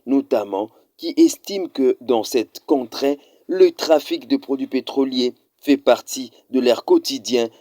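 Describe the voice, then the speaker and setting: A man reading a scripted news report in a formal, measured voice-over. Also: medium pitch, dark tone, dry recording, studio quality. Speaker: news narrator.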